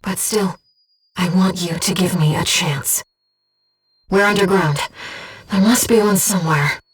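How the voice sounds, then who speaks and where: A woman playing an earnest, devoted young female spirit guide in a video game, speaking with composed, gentle precision as a mission operator. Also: lowish voice, a little slow, slightly rough, recorded from a distance. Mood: tender, resolute. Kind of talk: scripted video game voice acting.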